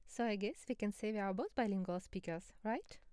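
A woman speaking as an expert co-presenter in a scripted educational dialogue, measured, formal and explanatory. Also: mid-range voice, a little mellow, smooth, dry recording.

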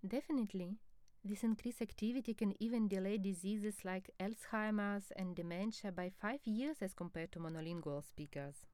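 A woman speaking as an expert co-presenter in a scripted educational dialogue, measured, formal and explanatory. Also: mid-range voice, a little mellow, dry recording.

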